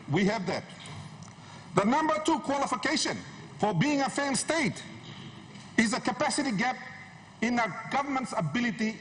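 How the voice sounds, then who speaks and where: A man's voice: very high-pitched, a bit bright. A middle-aged man giving an impassioned, emphatic speech as a member of parliament, using rhetorical repetition. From Papua New Guinea. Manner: emphatic, rhetorical, repeats phrases for effect. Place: large wood-panelled parliament chamber. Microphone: desk gooseneck microphone, standing. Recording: noisy parliament chamber microphone.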